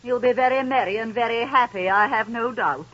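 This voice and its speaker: A woman playing a poor, loving mother in a 1930s radio drama, brisk and motherly, sharp-tongued about her husband's employer. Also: on the high side. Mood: bitter. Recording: dull old radio broadcast.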